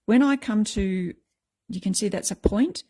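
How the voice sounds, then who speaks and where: A middle-aged woman with a croaky voice, chatting casually as she explains a craft technique step by step. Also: mid-range voice, a little slow, dark tone. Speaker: craft tutorial host.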